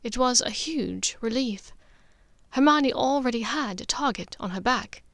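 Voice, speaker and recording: A woman narrates a novel in audiobook style. Her voice sits fairly high, and the recording is close and dry, with very little room sound. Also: creaky, no echo. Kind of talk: scripted fiction narration.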